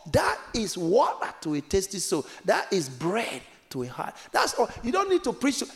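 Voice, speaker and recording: Animated preacher, hushed to loud. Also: male voice, high voice. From Africa. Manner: swings from hushed whispers to loud exclamations; laughs while telling a story. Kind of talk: sermon.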